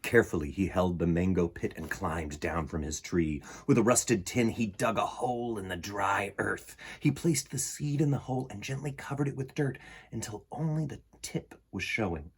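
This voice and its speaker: A man in his forties with a slightly husky voice, reading and presenting a children's picture book in an expressive, dramatic way. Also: fairly low pitch. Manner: animated, dramatic, with deliberate pauses and emphasis. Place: small indoor room in front of bookshelves.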